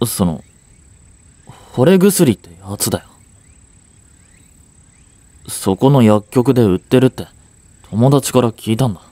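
A man playing a flustered young boyfriend, wide-swinging pitch. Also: mellow, no echo. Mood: exasperated, brusque. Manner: hesitant, stammering, brusque. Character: curt, flustered young boyfriend hiding his affection. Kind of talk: Japanese romance audio drama aimed at women.

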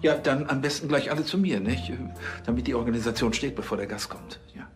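Man as pompous police chief, halting, hemming, medium pitch, TV comedy, faint music. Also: close to an everyday voice. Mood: officious. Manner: hemming, halting, ends phrases with "nicht?". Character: pompous, self-important middle-aged male police chief. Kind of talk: acting in TV crime comedy.

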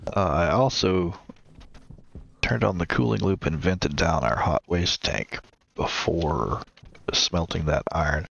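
A congested man with a deep, gravelly voice hosts a gameplay series, walking viewers through his projects in a relaxed way. He pauses often to fill in with uhs. The recording sounds slightly dull and lo-fi. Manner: relaxed, explains as he builds, many uhs. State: stuffed up, congested. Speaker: let's play host. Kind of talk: casual gameplay commentary.

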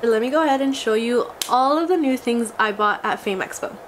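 A woman's voice: fairly high pitch, fairly quick. A young woman in her twenties, a beauty vlogger, chatting casually and gushing over a haul, her voice a little scratchy from a cold. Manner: gushing, enthusiastic, exclamatory. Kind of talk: casual makeup haul vlog. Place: small indoor room before a glitter backdrop.

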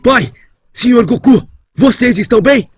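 A man's voice: extremely high pitch, lively intonation, measured pace, faint music behind. A man with a Brazilian accent playing a serious, polite young warrior in an anime fan dub. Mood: serious concern.

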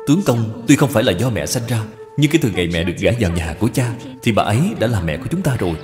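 Man voicing a dutiful wise wife, lively intonation. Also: fairly low pitch, racing, close to an everyday voice. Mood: earnest, caring. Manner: earnest, formal, persuasive. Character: gentle, dutiful, wise young wife in a moral tale. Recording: long reverb, music behind.

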